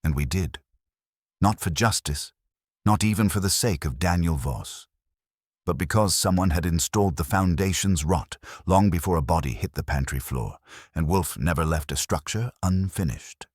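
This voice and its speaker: A man narrating a detective story in a measured, dramatic literary style, as for an audiobook. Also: deep voice, a little mellow, slightly rough, dry recording, studio quality. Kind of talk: scripted first-person detective story narration.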